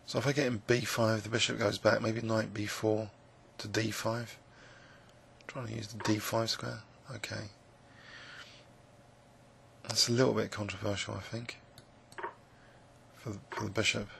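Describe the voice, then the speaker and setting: A man with a croaky, gravelly voice, musing hesitantly with many fillers as he commentates his own blitz chess game. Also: lowish voice, level tone, measured pace, long pauses, a bit bright, slight background noise. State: croaky voice, clearing throat. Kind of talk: live game commentary, thinking aloud.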